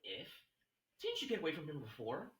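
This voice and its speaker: A man's voice: fairly high pitch, huge pitch swings, a little fast, a bit bright, husky, exaggerated voice. A man playing an anxious, sceptical young man on the run in a horror script table read. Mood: anxious, doubtful. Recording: distant, echoey room sound.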